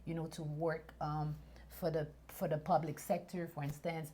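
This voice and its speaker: Woman from haiti, deep-ish voice, slow and thoughtful with lots of ums, light noise behind. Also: little pitch movement. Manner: thoughtful, many fillers and restarts. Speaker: guest, attorney. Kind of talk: interview conversation.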